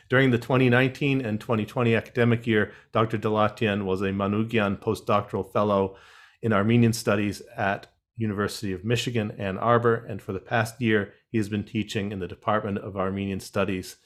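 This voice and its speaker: Low-voiced man moderating a webinar panel. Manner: measured reading with wry asides. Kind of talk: reading guest introductions on a webinar. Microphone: video call.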